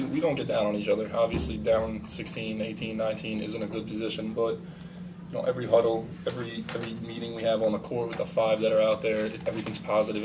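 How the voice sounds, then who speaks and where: Flat, fast-talking young player. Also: male voice, on the low side. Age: young adult. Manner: relaxed, leans on "you know". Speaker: college basketball player. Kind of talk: postgame press conference answers. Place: small press room. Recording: mic at a distance, noisy room.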